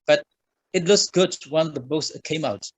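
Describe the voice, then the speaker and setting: A man from Myanmar with a Burmese accent, a guest author answering interview questions in a halting way with many fillers. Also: on the high side, husky, no echo, rough recording. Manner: halting, frequent uh fillers, simple phrasing.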